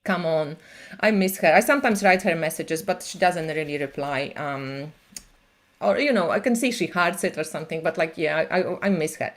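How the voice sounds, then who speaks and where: A woman who reads tarot, chatting on a live stream in an animated way with many fillers. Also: low-pitched, moderate pace. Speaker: tarot reader and channel host. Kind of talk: casual conversation on a live stream.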